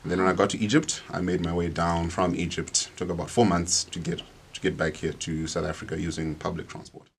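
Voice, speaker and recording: A young man from Malawi, a radio guest, talking in a relaxed, loose way with many fillers. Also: low voice, fairly quick, a little hiss or hum. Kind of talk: radio interview. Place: radio studio.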